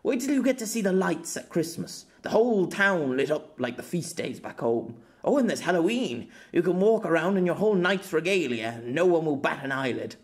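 A man's voice: a little high, sing-song, brisk, few pauses, voice pushed up. A man voicing a chatty, bashful young man who is eager to impress, in an audiobook story.

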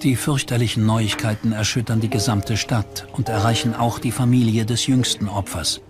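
A man's voice: on the low side, steady tone, moderate pace, natural voice. A man delivering scripted narration for a true-crime documentary. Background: music under the voice.

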